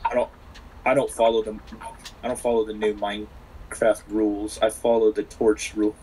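A man's voice: lowish voice, flat delivery, moderate pace, dark tone, slightly rough, rough recording. A young man joking around in a casual chat with friends while playing a game. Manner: joking, goofy. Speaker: gamer.

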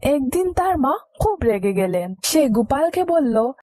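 A woman's voice: high voice, big pitch swings, unhurried, mellow, dry recording, studio quality. A woman narrating a scripted moral story for children as a storyteller.

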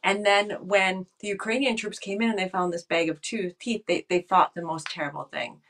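A woman in her fifties, a political scientist, talking thoughtfully over a video call from a room at home, often pausing to restart her phrases. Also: medium pitch, fairly even intonation, a bit bright. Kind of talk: casual video call interview. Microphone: video call webcam.

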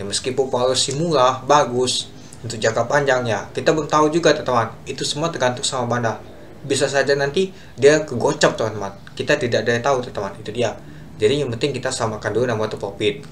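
Young man, casual stock-chart walkthrough, chatty and repetitive, mid-pitched voice, faint hiss behind. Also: fast. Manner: chatty, repeats "teman-teman" constantly, talks through charts. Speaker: stock market youtuber. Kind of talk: casual screen-share stock analysis. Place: small room at a computer.

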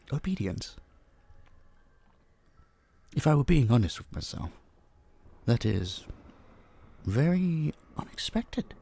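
A man voicing a possessive, centuries-old vampire in an intimate roleplay drama, slow and condescending, with a husky, breathy voice that drops into whispers. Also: fairly low pitch, darkish tone, full character voice. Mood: amused, musing. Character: possessive centuries-old male vampire, dominant, menacing captor.

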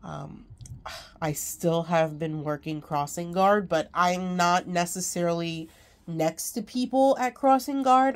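A woman's voice: sits low, a little mellow. A sick, congested woman chatting casually to her phone camera at home, rambling with many ums and small laughs. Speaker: craft vlogger.

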